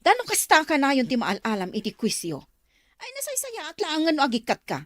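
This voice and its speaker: A woman's voice: high voice, wildly swooping pitch, a little fast, no room sound. An actress playing a harsh, scolding mother in an Ilocano radio drama, suspicious and resentful.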